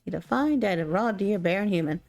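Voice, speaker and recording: Woman voicing a guarded, mellow-toned wild huntress. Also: medium pitch, expressive, a little fast, lightly acted. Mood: guarded, boastful. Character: guarded, boastful wild huntress raised in the woods.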